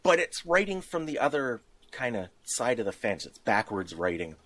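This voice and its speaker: A man's voice: medium pitch, sing-song, normal speed, fairly bright tone, no echo. A man co-hosting a casual podcast, explaining things emphatically and posing rhetorical questions. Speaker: podcast host.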